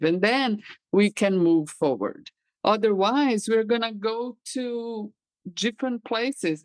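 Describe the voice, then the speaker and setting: A woman whose first language is Portuguese, a guest facilitator, telling a lively story with a very animated delivery. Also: fairly high pitch, no echo. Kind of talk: conversational interview.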